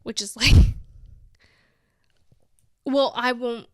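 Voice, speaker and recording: A woman's voice: fairly high pitch, steady tone, extremely slow, dry recording, clean studio sound. A woman in her twenties chatting casually as a vlogger, giggling often and filling her talk with "like.". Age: 20s. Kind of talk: casual chat to camera.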